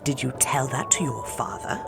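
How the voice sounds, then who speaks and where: A woman's voice: very deep voice, moderate pace, over music. An actress playing a regal, doting and shrewd mother queen of the gods in an audio drama, speaking with formal, coaxing poise. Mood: tender, coaxing.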